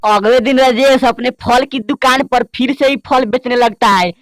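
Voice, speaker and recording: Lady narrating a cartoon tale, high voice, music going behind her. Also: steady tone, a little slow, no room sound. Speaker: story narrator. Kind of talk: scripted cartoon story narration.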